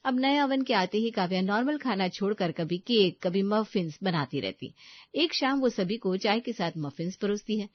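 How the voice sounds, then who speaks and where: A woman's voice: mid-range voice, expressive, moderate pace, dry recording, muffled recording. A woman reading scripted narration for a bedtime story.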